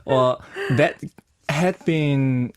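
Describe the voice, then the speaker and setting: A young man answering interview questions in a soft, hesitant way, with many fillers and restarts. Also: mid-range voice, drawn-out words, clean tone, no room sound. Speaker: singer-songwriter and producer guest.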